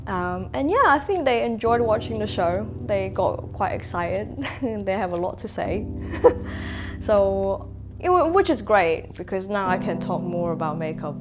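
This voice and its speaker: A woman in her twenties from Hong Kong, talking in a sit-down interview as a makeup artist, pausing often with "um" and laughing between thoughts. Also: medium pitch. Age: 20s. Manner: reflective, frequent "um" fillers, laughs between thoughts. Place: bright room indoors, seated in armchair. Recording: music playing clearly behind.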